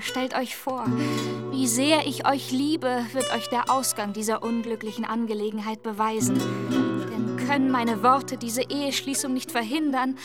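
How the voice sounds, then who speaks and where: A woman's voice: high voice, close to an everyday voice. An actress playing a wronged, sorrowful young woman in a radio play, speaking in formal, lamenting phrases over music. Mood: anguished, devoted. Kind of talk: German radio play acting. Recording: music behind the voice.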